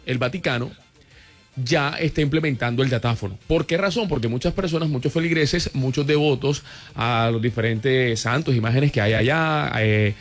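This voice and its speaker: A man, a radio host in casual, animated banter who exclaims and teases. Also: medium pitch, moderate pace. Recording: music bed behind.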